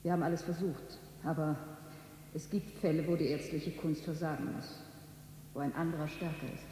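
Radio play role: solemn consoling doctor played by a man, fairly high, very mellow voice, measured delivery, faint noise. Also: fairly even intonation, natural voice, low-quality recording. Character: grave, fatherly male private doctor breaking bad news. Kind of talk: 1970s radio drama acting.

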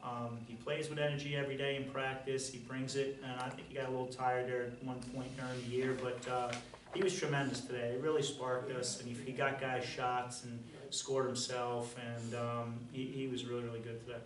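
A middle-aged man, a basketball coach, answering press questions in a halting way with many fillers and restarts. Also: mid-range voice, little pitch movement, a crowd in the background. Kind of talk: post-game press conference answers. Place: press conference room. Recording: roomy, distant, faint noise.

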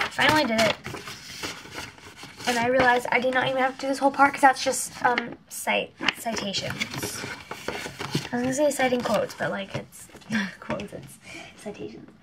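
A woman's voice: fairly high pitch, background noise. A teenage girl with a stuffy nose, chatting casually and rambling to her webcam in a small bedroom, sometimes dropping to a whisper. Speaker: vlogger. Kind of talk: casual vlog chat. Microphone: webcam on a desk lamp.

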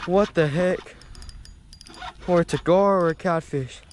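A young man, excitedly vlogging while fishing from a bridge over a river. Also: high voice, unhurried, a little hiss or hum. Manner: excitable, repeats exclamations. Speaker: fishing channel host.

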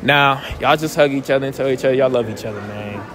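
A man's voice: medium pitch, quick pace, a bit bright, a little hiss or hum. A young man hosting casual street interviews outdoors, joking and teasing in slangy talk.

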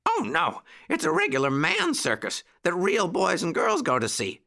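Excitable high-pitched little puppy boy. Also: normal speed, creaky, exaggerated voice. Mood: eager. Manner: barking, breathless exclamations. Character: excitable little puppy boys, eager and pleading.

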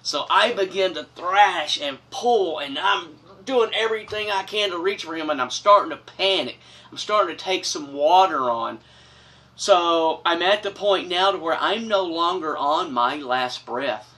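A middle-aged man recounts a story with earnest, dramatic emphasis. His voice is bright and forceful, and it sits slightly away from the mic in a small wood-paneled room. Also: sits high, a little slow, recorded from a distance. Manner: earnest, dramatic, expressive with big gestures. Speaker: storyteller host. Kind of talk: personal storytelling to camera. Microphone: camera from a few feet away.

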